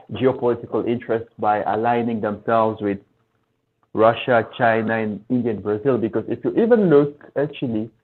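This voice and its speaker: Man on a tinny phone-like line. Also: on the low side. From Central Africa. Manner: thoughtful, explanatory, restarts mid-phrase. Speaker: discussion panelist. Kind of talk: casual live-stream discussion.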